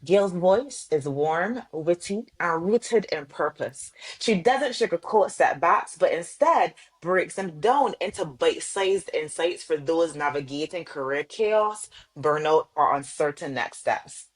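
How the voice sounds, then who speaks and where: A woman hosting a podcast, reading an emphatic scripted intro and then chatting warmly with affirming interjections. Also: lowish voice, expressive, a little slow, hardly any pauses. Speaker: podcast host.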